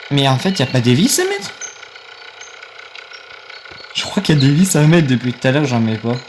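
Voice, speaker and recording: A man's voice: mid-range voice, huge pitch swings, normal speed. Young guy, rambling let's-play talk into a phone, light noise behind. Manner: rambling, easily annoyed, talks to viewers. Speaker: gaming video creator. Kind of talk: casual gameplay commentary.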